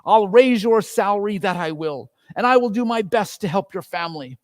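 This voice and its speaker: A man's voice: high voice, expressive, normal speed, no room sound, voice pushed up. A man playing an elderly reformed miser, exuberant and exclamatory, in a theatrical reading of a Victorian story. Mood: hearty generosity. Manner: exclamatory, exuberant, chuckling old gentleman. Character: elderly miser, newly reformed, giddy with joy.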